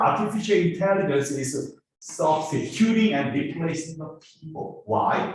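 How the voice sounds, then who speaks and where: A man with a Korean accent, lecturing as a business professor and pressing students with rhetorical questions. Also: a little high. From South Korea. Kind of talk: classroom lecture. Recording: echoey classroom from far off.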